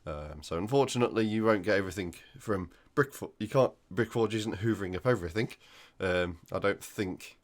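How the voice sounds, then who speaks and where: A man with a croaky voice from flu, hosting a hobby news roundup in a casual, hesitant way with many ums. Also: lowish voice, fairly quick, hardly any pauses. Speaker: hobby channel host.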